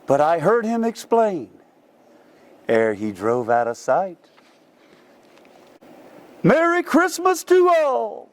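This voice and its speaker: A man playing a jolly old Santa Claus, reading a storybook poem to young children in a theatrical, drawn-out sing-song. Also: high voice, huge pitch swings, exaggerated voice. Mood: merry, playful.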